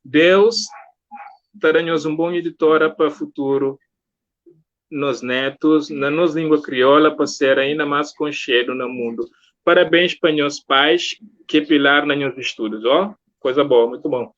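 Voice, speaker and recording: High-voiced, jovial male live-stream host. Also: a little slow, fairly bright tone, lo-fi sound. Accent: Cape Verdean. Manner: jovial, reads out viewer comments. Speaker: interviewer, host. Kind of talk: casual live-stream chat.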